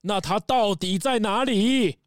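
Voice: mid-range voice, slow pace, dry recording, clean studio sound, lightly acted. An actor voicing a fretful, indignant castle owner with a demanding, flustered manner in a children's audio drama. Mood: questioning.

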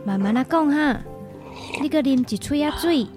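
A woman's voice: high-pitched, expressive, normal speed, darkish tone, soft background music. A woman playing a caring, encouraging mother in a children's radio drama. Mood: gentle, encouraging.

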